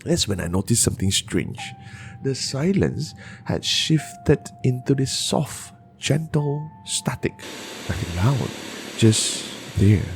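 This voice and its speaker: A man narrating bedtime horror stories in a deliberate, dramatic way, with pauses. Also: mid-range voice, very animated, vocal fry, soft background music.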